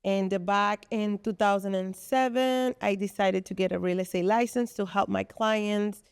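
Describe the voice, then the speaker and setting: A woman's voice: medium pitch, fairly even intonation, unhurried, clear, resonant tone, no echo, studio quality. A woman from the Republic, a podcast guest explaining her business at length with earnest fillers. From Dominican Republic. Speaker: guest, co-founder of a business space. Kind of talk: podcast interview.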